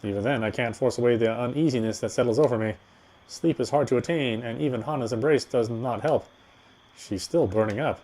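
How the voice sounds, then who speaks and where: A man's voice: medium pitch, fairly quick, warm tone. A man reading a horror visual novel aloud as a dramatic first-person narrator.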